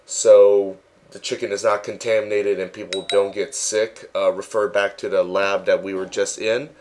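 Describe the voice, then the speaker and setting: A man narrating a casual walkthrough as a virtual world tour guide, relaxed and halting, with frequent ums. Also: lowish voice.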